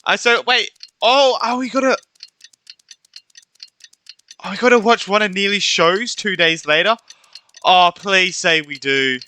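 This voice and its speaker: A man giving casual, exclamatory playthrough commentary as an excitable game streamer. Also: high-pitched, unhurried, long pauses, a little hiss or hum. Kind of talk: casual playthrough commentary, reading lines along.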